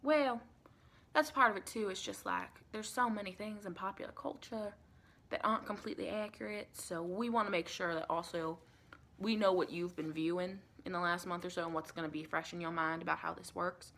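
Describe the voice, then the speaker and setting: A soft-spoken woman playing a chatty, caring female vampire intake interviewer in an improvised ASMR roleplay, rambling and reassuring with pet names. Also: lowish voice, steady tone, fairly quick, vocal fry, a little hiss or hum. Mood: explanatory, reassuring. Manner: rambling, reassuring, endearments like honey and sweetie.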